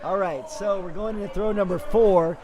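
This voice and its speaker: Hoarse, high-voiced man calling play-by-play. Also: normal speed, noisy background. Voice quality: raspy. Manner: upbeat, running play-by-play with frequent fillers. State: hoarse from talking for days. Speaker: play-by-play commentator. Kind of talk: live sports commentary.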